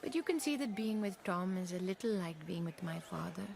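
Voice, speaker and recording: A woman's voice: on the low side, lively intonation, brisk, vocal fry, noisy background. A woman acting in a radio play as an anxious, proud wife and mother with a secret, emotive yet guarded. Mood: confiding, soft. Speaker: actress in a radio drama.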